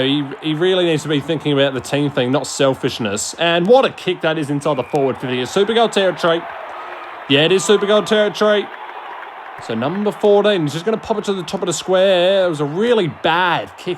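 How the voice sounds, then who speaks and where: A man giving excitable, colloquial play-by-play sports commentary in a blunt manner, often answering his own questions. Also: on the high side. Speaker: amateur football commentator. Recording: loud crowd noise behind the voice. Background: stadium crowd ambience.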